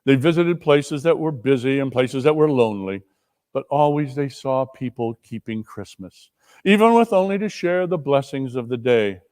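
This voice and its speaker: A man narrating a story in a dramatic reading, with measured and emphatic phrasing. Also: mid-range voice, lively intonation, darkish tone. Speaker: dramatic reader.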